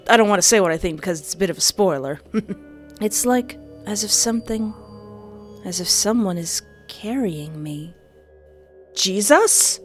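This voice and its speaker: A young woman reading a visual novel aloud and breaking in with playful, joking asides. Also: medium pitch. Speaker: game streamer.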